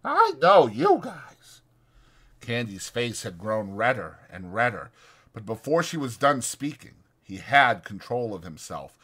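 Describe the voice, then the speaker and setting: A man's voice: lowish voice, expressive, unhurried, sharp tone. A man reading literary fiction as a dramatized audiobook narrator.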